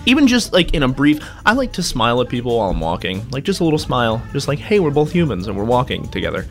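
A man's voice: medium pitch, wildly swooping pitch, quick pace, faint music behind. Stuffed-up young man rambling. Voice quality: loud. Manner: rambling, self-interrupting, laughs often. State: stuffed up, nasal. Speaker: co-host. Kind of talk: casual chat.

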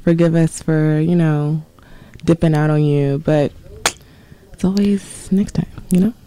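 A woman's voice: deep voice, steady tone, measured pace, warm tone. Giggly young southern woman radio host. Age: 20s. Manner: chatty, giggly, loose slang. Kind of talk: casual chat. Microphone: studio microphone.

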